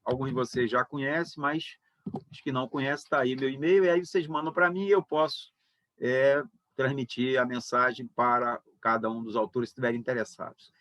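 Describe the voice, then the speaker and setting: A man closing an online book launch as moderator, speaking in a measured, hesitant way with many fillers. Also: mid-range voice, fairly even intonation, fairly bright tone, no echo. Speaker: event moderator and book organizer. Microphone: video call. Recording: dull, dry video call audio.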